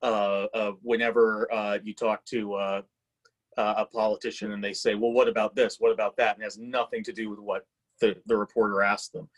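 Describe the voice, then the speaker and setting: Husky-voiced man, casual workshop chat, halting, slipping into example lines. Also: fairly low pitch, flat delivery. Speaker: script reading participant giving feedback.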